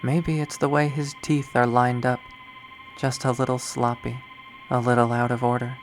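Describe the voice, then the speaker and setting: A man reading a visual novel aloud, voicing an obsessive, lovesick young woman who has turned killer, in a slow, hushed, brooding delivery. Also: mid-range voice, little pitch movement, faint music behind, lightly acted. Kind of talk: dramatic first-person readthrough.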